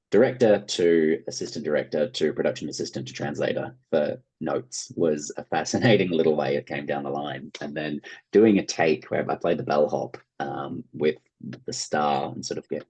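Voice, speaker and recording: An Australian man chatting casually as an interview guest, telling anecdotes in an animated way and acting out quoted remarks. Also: extremely low pitch, breathy edge. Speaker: interview guest, actor and writer.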